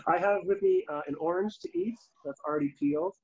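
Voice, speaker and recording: A man's voice: high-pitched, animated, fairly quick, darkish tone, breathy edge. A man in his twenties with a Canadian accent, chatting casually as a guest on a video call. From Calgary, Canada. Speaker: theatre artistic director and guest. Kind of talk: casual chat. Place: small room indoors. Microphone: laptop on video call. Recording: dull video call audio.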